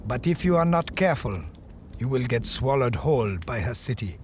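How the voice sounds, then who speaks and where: An actor playing a cryptic, solemn desert guide in an audio drama, with poetic, ominous phrasing. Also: male voice, medium pitch, animated, moderate pace, slight background noise, lightly acted. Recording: loud outdoor ambience behind voice.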